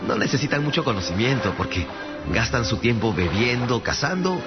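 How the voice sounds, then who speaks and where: An actor playing a candid, deferential traveller who answers royalty courteously and matter-of-factly in a radio drama. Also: male voice, mid-range voice, big pitch swings, normal speed, natural voice. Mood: blithe candour. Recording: dull radio drama, music behind.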